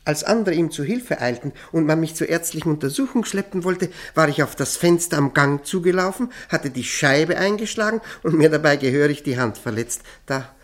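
A man's voice: fairly high pitch, expressive, fairly quick, hardly any pauses, lightly acted. A man acting a courteous, nervous former prisoner in a radio play, speaking hesitantly and reflectively with formal politeness. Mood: confiding, reflective. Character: haunted Austrian lawyer, former Gestapo prisoner, courteous and nervous. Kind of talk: German radio play acting, confiding narration.

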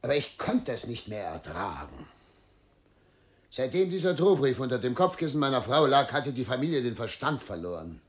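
An actor playing a troubled, middle-aged governor and father in a radio play, dutiful and self-justifying, speaking in a formal, halting way. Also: medium pitch, sing-song, lightly acted. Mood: strained, self-justifying. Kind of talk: German radio play acting.

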